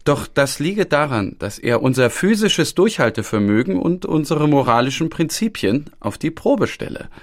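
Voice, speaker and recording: A man's voice: fairly high pitch, very animated, normal speed, hardly any pauses. An actor playing an earnest father in a chorus of parents, reciting in a radio play.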